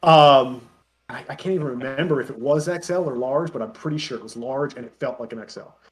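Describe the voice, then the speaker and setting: A man with a middle-pitched voice chats as a guest on an online call. He tells stories casually, in stops and starts, circling back as he goes. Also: a little fast. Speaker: interview guest. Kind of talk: casual chat, telling anecdotes. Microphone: voice call on Discord.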